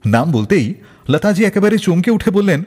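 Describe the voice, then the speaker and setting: A male radio host narrates scripted stories with hugely animated, swooping intonation. His voice sits on the high side and has a slightly husky edge. The delivery is theatrical and expressive, drenched in long reverb. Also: moderate pace.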